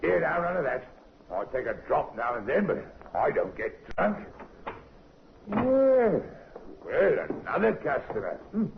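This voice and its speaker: Gruff pub landlord, gravelly and bossy, jumpy higher voice, muffled old radio. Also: male voice, very animated, a little slow, noisy background, heavily acted. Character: gruff, blunt English innkeeper husband, middle-aged. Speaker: radio drama actor. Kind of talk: 1950s radio crime drama acting. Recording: muffled vintage radio recording.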